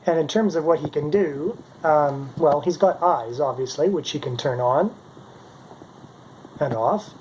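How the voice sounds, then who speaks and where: A man's voice: on the high side, unhurried, darkish tone, a little hiss or hum, rough recording. A middle-aged man casually narrating a demonstration as a hobbyist maker. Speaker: maker presenting his homemade robot.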